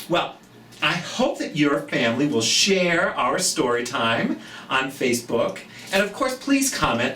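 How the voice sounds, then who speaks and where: A children's storytime host reading a picture book aloud, slowly and expressively, with chatty asides to young listeners. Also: mid-range voice. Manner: slow, expressive, speaking directly to children. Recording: roomy, distant mic, background noise.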